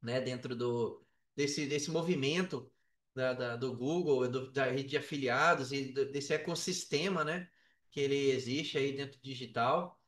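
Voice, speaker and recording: A man's voice: mid-range voice, a little slow, fairly bright tone. A Brazilian man telling personal stories casually as a podcast guest, often repeating words and checking in with the listener. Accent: Brazilian Portuguese. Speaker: podcast guest, affiliate marketer. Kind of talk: casual personal storytelling in an interview. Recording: echoey room, distant from the mic.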